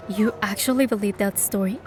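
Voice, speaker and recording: A woman voicing a bold, curious young heroine, with expressive storytelling delivery in a fantasy game. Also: mid-range voice, moderate pace, over music, lightly acted. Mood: confident, curious. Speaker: fan dub voice actor and narrator. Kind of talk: scripted first-person fantasy game narration and dialogue.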